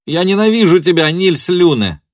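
A male narrator plays a scorned woman in a literary audiobook. The voice is raised high and loud, spitting bitter accusations with venomous contempt. The recording is close and dry. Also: big pitch swings, normal speed, no echo. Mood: furious. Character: furious, betrayed young woman hurling scornful accusations.

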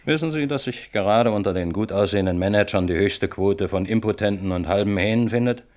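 A deep-voiced chief inspector acted by a man in a radio crime play. He is curt and commanding, with a gruff, sardonic manner and diction. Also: a little fast, a little mellow, close to an everyday voice. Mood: skeptical, commanding. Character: gruff, pressured male chief police inspector leading murder inquiry.

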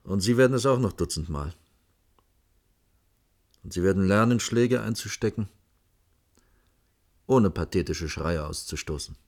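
Casting: pragmatic middle-aged chief architect, low voice, stern and world-weary, radio-play dialogue. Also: male voice, expressive, darkish tone. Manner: measured, firm, admonishing. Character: stern, disillusioned male senior architect, pragmatic boss. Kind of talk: German radio drama dialogue.

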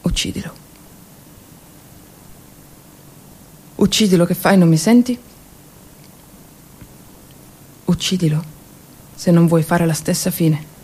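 A woman's voice: fairly low pitch, moderate pace, warm tone, slightly rough, voice pushed down. A woman playing a cold, menacing male Italian army general, commanding and scornful in a theatrical war monologue. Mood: cold menace.

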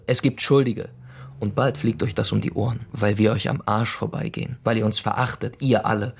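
A young man as an embittered delivery worker in a radio crime drama. His mid-range voice is creaky, and he needles with sarcasm and defiance in loose, colloquial, natural-sounding speech. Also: medium pitch. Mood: scornful, accusing. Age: young adult.

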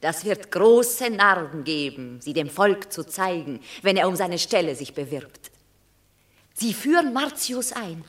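An actress playing a proud, stern Roman mother of a war hero, speaking verse slowly and solemnly in a radio play. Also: on the low side, big pitch swings. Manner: measured, solemn verse delivery. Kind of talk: classic radio play acting.

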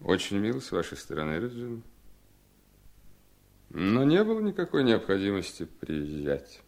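An ailing, reflective husband in a 1970s radio drama. The voice is low with wide swings of intonation, courteous yet quietly firm. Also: male voice, big pitch swings, moderate pace, creaky. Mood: dry courtesy. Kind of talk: 1970s Soviet radio play acting.

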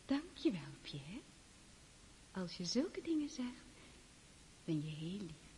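An actress in a Dutch radio drama playing a gentle, coaxing aristocratic lady who pleads softly and hesitantly. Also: medium pitch, sing-song, slow pace. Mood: tender. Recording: reverberant, hissy old radio play recording.

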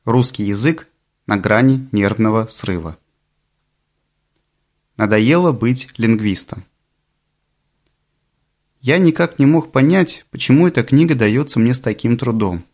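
A man narrates an audiobook in a calm, deliberate way. His voice sits at a middle pitch for a man, and he slows down on the phrases he wants to stress. The delivery stays even and composed throughout.

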